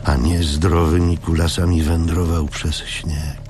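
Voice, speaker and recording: A man reading scripted literary narration in a measured way, with dramatic pauses. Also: a bass voice, little pitch movement, background noise. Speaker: audiobook narrator. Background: music.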